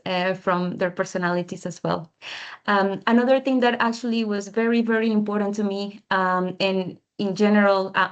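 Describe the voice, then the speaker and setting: A young woman, a current master's student, speaking about her program in an info session, with many pauses, fillers and restarts. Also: medium pitch, muffled recording.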